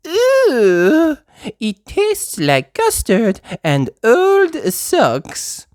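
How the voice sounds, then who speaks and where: A fussy female gazelle schoolteacher put on by a storybook narrator speaks in a raised pitch. Her motherly phrases are drawn out and exclaimed with big swoops. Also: wildly swooping pitch, darkish tone, no echo, clean studio sound, full character voice. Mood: disgusted. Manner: high, exclaiming, drawn-out delivery.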